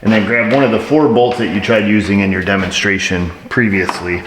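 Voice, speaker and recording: A man's voice: on the low side, steady tone, a little fast. A middle-aged man, an auto mechanic, explaining a repair in a casual how-to way with wry, dry, self-mocking asides while he works. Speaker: auto mechanic, shop owner. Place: indoor auto repair shop garage. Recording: echoey garage with shop noise.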